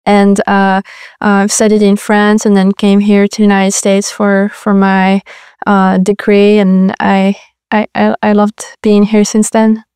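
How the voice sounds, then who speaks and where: A woman's voice: medium pitch, completely flat delivery, measured pace, darkish tone, smooth, dry recording, clean studio sound. A woman from Morocco, a research fellow giving careful, explanatory interview answers.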